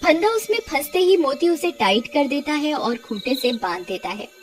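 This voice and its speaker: A woman's voice: high voice, animated, normal speed, soft background music. A woman narrating a scripted cartoon horror story.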